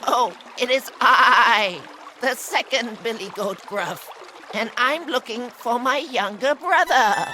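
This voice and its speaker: A man's voice: extremely high pitch, slow, pushed and bright, slight background noise, voice pushed up, heavily acted, low-quality recording. A man voicing a polite, worried young billy goat searching for his brother.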